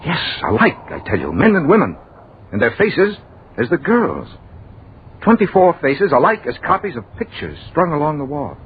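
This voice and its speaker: An actor playing a bewildered, haunted young man in 1940s radio drama, telling his tale in halting, dramatic broken phrases and repeated words. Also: on the high side, wildly swooping pitch. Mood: haunted, awed. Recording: phone-like, noisy old 1940s radio recording.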